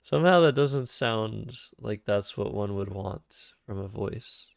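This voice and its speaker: A man with a calm, soothing voice that drops into gravelly vocal fry, unhurried and deliberate as he talks through his plays. His voice sits fairly low, and the sound is dry and close, picked up by a cheap microphone. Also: no echo. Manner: thinks aloud over moves, soft-spoken and unhurried. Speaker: Scrabble streamer. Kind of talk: live-streamed game commentary.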